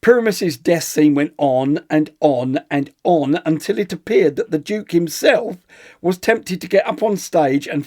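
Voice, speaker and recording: Man playing a high-voiced, withering theatre critic. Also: a little mellow, close to an everyday voice. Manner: theatrical, arch, disdainful. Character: withering, pompous male theatre critic reading his review. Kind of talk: scripted comic review reading.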